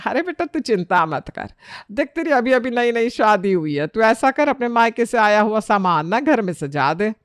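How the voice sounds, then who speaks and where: A woman's voice: on the high side, sing-song, moderate pace, no room sound. A woman acts out a middle-aged Indian mother-in-law in a family storybook tale. She is fussy and worried, chiding and emphatic in every phrase. The recording is close and dry. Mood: bossy reassurance.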